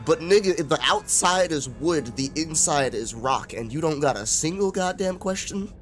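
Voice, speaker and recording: A man's voice: fairly high pitch, animated, moderate pace, a bit bright. Profane, joking male streamer. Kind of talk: casual live gameplay commentary. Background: game music.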